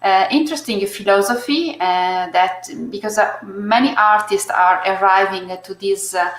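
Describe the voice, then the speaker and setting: A woman hosting an online artist talk, speaking haltingly with many fillers and restarts. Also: mid-range voice, echoey room, low-quality recording. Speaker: host moderating an artist talk.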